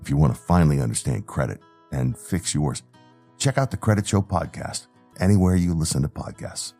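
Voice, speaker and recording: A man's voice: very deep voice, expressive, fairly quick, mellow, soft background music. A man who hosts a show, reading a scripted promotional advertisement. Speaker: podcast host advertising his show.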